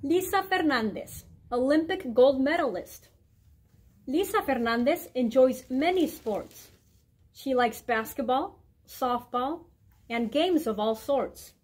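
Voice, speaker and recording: A middle-aged woman reading a children's picture book aloud in a clear, deliberate storytime way in a library room. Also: high-pitched, lively intonation, a little mellow. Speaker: guest reader, mayor. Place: indoor library room with bookshelves.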